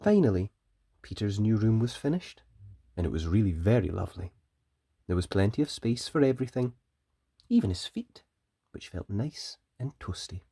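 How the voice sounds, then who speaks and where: A children's story reader and host, narrating a picture book in a gentle, deliberate and expressive way. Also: medium pitch, slightly rough, no echo, studio quality.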